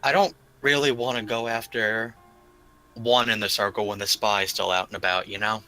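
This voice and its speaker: Guy playing tabletop rpg, lowish but bright voice, slangy casual chat. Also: level tone, moderate pace, soft background music, poor audio. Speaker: tabletop game player.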